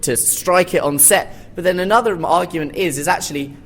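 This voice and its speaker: A man's voice: high voice, a little fast, fairly bright tone, slight background noise. A man speaking informally and knowledgeably as a panelist in a live theatre discussion. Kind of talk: informal expert panel talk.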